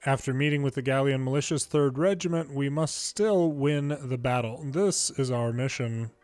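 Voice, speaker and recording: A man's voice: medium pitch, moderate pace, a little mellow. Man reading game dialogue over music. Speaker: video game commentator reading subtitles aloud. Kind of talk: dramatic read-aloud of game dialogue.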